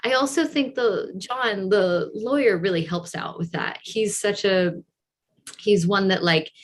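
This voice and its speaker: A woman's voice: mid-range voice, a little slow. A woman speaking as a theatre director on a discussion panel, thoughtfully searching for her words. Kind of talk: reflective panel discussion answer.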